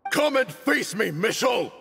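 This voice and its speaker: An actor playing a proud, defiant military commander in a video game, grandiose and theatrical. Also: male voice, sits high, very animated, measured pace, vocal fry, pushed and bright, big-room sound, soft background music, exaggerated voice. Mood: rallying, defiant. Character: proud, defiant male commander making his last stand.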